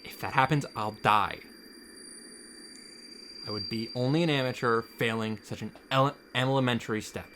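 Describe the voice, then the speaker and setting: A man reading the visual novel monologue of an earnest, determined young student of magic, in a hushed, solemn, measured way. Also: mid-range voice, background noise, lightly acted. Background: background music.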